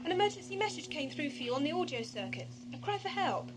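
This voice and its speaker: Casting: brisk, teasing female adventurer played by a woman, high-pitched and expressive, practical, easily exasperated, 1980s audio drama. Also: background noise. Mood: dry, reproving. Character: sharp, sensible woman companion of a time traveller.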